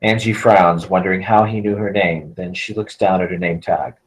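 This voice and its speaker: A man's voice: sits low, steady tone, moderate pace, low-quality recording. A man narrating the stage directions of a film script at a table read, in scripted present-tense narration.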